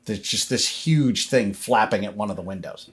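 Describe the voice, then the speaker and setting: A man working as game master, narrating a horror tabletop game in an improvised, descriptive, halting way. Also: fairly low pitch, heavy background noise.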